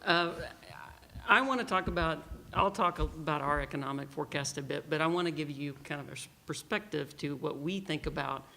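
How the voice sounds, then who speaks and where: A man's voice: a little high, brisk, vocal fry, slight background noise. A man from Texas giving a conference talk, joking and telling stories. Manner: joking, storytelling, lively. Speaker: panel speaker. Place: conference hall.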